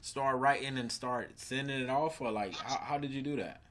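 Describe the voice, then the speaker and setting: Male interviewer, casual and rambling, middle pitch. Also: moderate pace, fairly bright tone. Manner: loose questions full of "um" and "uh".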